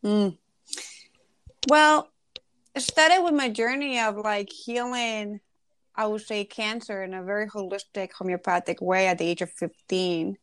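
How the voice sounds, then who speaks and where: A woman's voice: medium pitch, measured pace, a bit bright, clear, resonant tone, no echo. A woman in her forties from Ecuador, a relationship and intimacy coach, speaking earnestly and reflectively as a podcast guest. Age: 40s.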